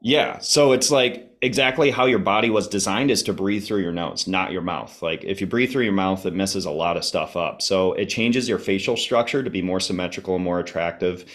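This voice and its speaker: A man chatting casually as a podcast guest, informal and explanatory, his voice slightly hoarse and a little congested. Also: deep voice, brisk, hardly any pauses, fairly bright tone. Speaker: podcast guest, health enthusiast. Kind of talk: casual conversational interview.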